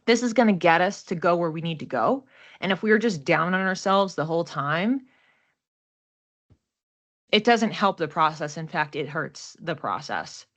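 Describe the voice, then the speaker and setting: A woman's voice: on the low side, expressive, normal speed. A woman giving a casual, unscripted card and astrology reading, thinking aloud in a reflective, conversational way. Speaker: oracle card reader and astrology host.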